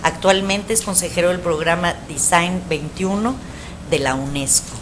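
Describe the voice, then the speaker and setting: A woman's voice: fairly low pitch, normal speed, noisy background. A woman giving a formal introduction of a guest speaker, reading his credentials with a few fillers. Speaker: event presenter. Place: lecture hall.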